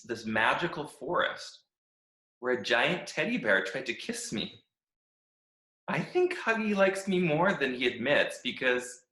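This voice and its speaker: A man reading a children's storybook as a cheerful, oblivious talking stick, gushing with naive excitement. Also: fairly high pitch, full character voice. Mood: excited, naive. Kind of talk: animated children's storybook read-aloud. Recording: echoey room.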